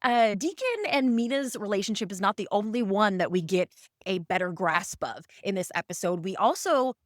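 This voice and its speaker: A woman hosting a casual podcast, asking questions in a chatty, informal and expressive way. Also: medium pitch, moderate pace, no echo, studio quality. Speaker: podcast host, interviewer.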